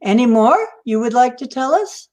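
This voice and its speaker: A woman's voice: on the high side, normal speed, darkish tone. A woman hosting a live stream, chatting casually and encouraging her guest, repeating herself. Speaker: stream host. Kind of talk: casual chat.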